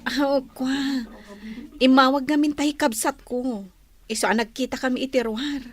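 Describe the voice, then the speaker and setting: An actress playing a meek, self-pitying young office worker in an Ilocano radio drama, hesitant and apologetic. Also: female voice, sits high, steady tone, normal speed, close to an everyday voice.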